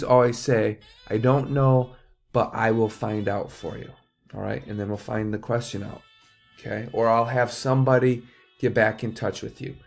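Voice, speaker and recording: A man's voice: mid-range voice, gravelly. A man giving an informal, plain-spoken training talk to new staff as their manager, often checking that they follow. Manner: plain-spoken, instructive, checks understanding with tags.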